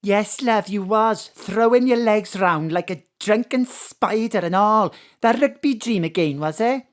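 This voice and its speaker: A woman's voice: mid-range voice, a little slow, close to an everyday voice. A woman playing a doting, nosy, scatty mother with a Welsh accent in a comic audio drama. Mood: excitable, proud. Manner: malapropisms, excitable, teasing.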